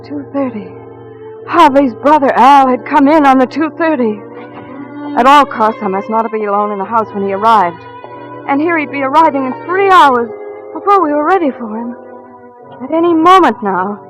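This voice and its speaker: A woman's voice: high voice, animated, moderate pace, a bit bright, rough recording. An actress in a 1940s radio drama playing a devoted, anxious housewife who also narrates her own suspense story. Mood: tense, frightened. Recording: old radio recording with music.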